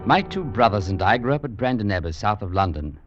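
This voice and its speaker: An actor playing a wistful English survivor recalling his boyhood, measured and reflective in 1940s radio drama narration. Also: on the low side, sing-song. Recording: dull old radio recording, faint music.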